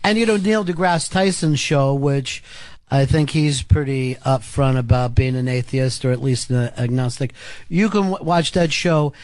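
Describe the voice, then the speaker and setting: A man's voice: mid-range voice, normal speed, a little mellow, dry recording. A man talking as a guest in casual radio chat, thoughtful, with many restarts and "you know"s.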